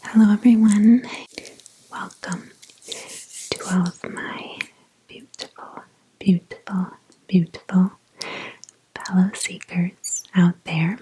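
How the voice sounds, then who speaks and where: A woman guiding an ASMR meditation, speaking in a soft, whispery, breathy voice with gentle, deliberate pauses. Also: on the low side, steady tone, a little hiss or hum, poor audio. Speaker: ASMR guided meditation host.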